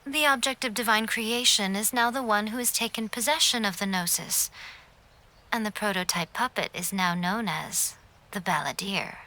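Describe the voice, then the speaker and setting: A woman voicing a knowing, courteous divine fox familiar in an anime video game, speaking in a measured, formal, gently wry manner. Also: mid-range voice, a little hiss or hum, natural voice. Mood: explaining, composed.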